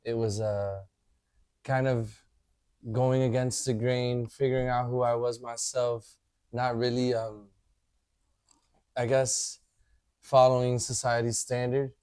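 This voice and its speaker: Thirties guy chatting on a podcast, smooth clear voice, dry close sound, says you know a lot. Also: mid-range voice, flat delivery, slow, pauses often, a little mellow, no room sound. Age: 30s. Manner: laid-back, leans on "you know" a lot. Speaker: podcast guest. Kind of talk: casual chat.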